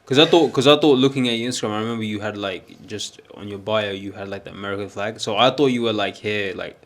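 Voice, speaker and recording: A man hosting a casual podcast, talking haltingly with lots of fillers and some joking. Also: fairly low pitch. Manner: halting, many fillers and restarts, jokey. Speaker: podcast host.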